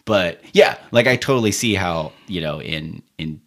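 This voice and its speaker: Casting: low-pitched American guy, loose rambling podcast talker, curses freely. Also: moderate pace, a little hiss or hum. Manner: rambling, swearing, animated retellings. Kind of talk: casual chat.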